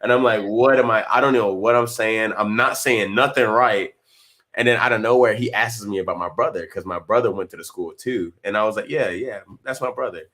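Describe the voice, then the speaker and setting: A man, chatting as an interview guest in a relaxed way with many fillers and restarts. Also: fairly low pitch, a little fast. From North Carolina. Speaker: guest actor. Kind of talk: casual interview.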